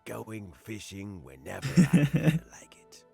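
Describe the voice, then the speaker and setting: Wistful old uncle in a game, really slow low voice, soft music under. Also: male voice, sing-song, vocal fry, full character voice. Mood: gently amused. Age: older. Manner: wistful, unhurried, pausing. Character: weary, gentle old man, wistful mentor uncle. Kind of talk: anime game voice acting.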